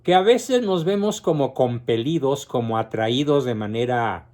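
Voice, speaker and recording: A man giving a philosophy lecture, explaining deliberately and lifting his voice to stress key words. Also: mid-range voice, animated, darkish tone. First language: Spanish. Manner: slow, deliberate explanation with rising emphasis.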